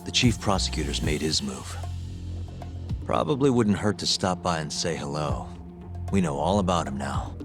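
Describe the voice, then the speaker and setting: A man playing a cool, determined lawyer hero in a video game, speaking in a measured, understated, dry way. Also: rumbling low, expressive, over music. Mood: assured. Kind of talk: English video game cutscene acting.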